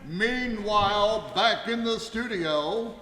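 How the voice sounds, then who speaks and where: A man's voice: high voice, slow pace, sharp tone, slight background noise. A man narrating a live radio play in a scripted, dramatic storytelling style. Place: live on stage in a ballroom. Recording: echoey ballroom hall.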